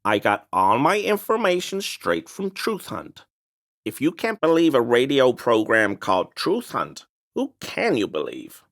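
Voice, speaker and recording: Game role: fussy, defensive male cryptid obsessive; pedantic and wordy, lively swooping intonation, close dry sound. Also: medium pitch, measured pace, vocal fry, no echo, heavily acted. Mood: baffled, evasive. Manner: pedantic, wordy, evasive, prone to grumbling. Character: fussy defensive man, amateur cryptid enthusiast and conspiracy believer. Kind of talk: video game character dialogue.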